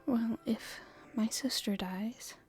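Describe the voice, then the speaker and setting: A woman playing an earnest, hopeful young princess in a soft, halting, breathy whisper for an ASMR roleplay. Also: on the high side, soft background music. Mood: thoughtful, hopeful. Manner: halting, soft-spoken, thinking aloud.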